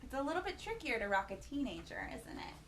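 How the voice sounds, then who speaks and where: A woman hosting children's storytime, reading a picture book in an animated, playful way and chatting to young listeners with questions and exclamations. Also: high-pitched, quick pace, far from the mic, a little hiss or hum.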